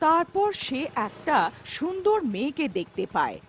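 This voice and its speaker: High, expressive woman storyteller. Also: normal speed, a little hiss or hum. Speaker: story narrator. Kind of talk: scripted children's horror folktale narration.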